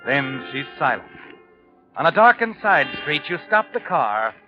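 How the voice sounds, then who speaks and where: A man's voice: fairly high pitch, sing-song, unhurried. A man playing a sinister, all-knowing narrator in 1940s radio suspense, taunting the guilty in a dramatic second-person address. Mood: ominous. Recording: dull vintage radio recording with music.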